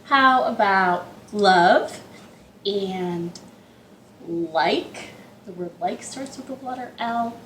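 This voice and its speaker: A woman's voice: medium pitch, extremely slow, fairly bright tone, echoey room, slight background noise. A woman hosting an interactive storytime for young children, chatty and playful, with rambling asides. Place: living room at home.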